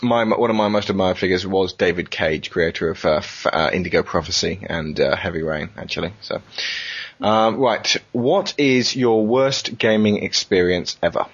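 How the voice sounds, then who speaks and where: Low, husky-voiced man hosting casually. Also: normal speed, muffled recording. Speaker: podcast host. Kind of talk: casual chat about video games.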